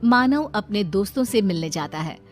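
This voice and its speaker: A woman's voice: medium pitch, expressive, moderate pace. A woman narrating a cartoon story from a script. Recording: faint music behind.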